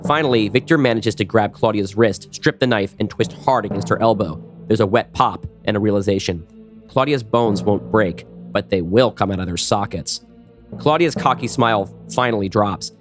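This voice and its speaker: A man's voice: lowish voice, moderate pace, soft background music. A man giving scripted narration for an action audio drama.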